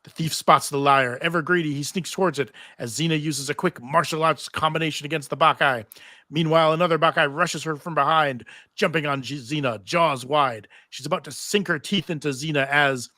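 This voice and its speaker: Bright-voiced man narrating a script aloud. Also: on the high side, a little fast, dry recording, studio quality. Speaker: table read narrator and panel host. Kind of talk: live reading of TV script stage directions with asides. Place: live convention panel.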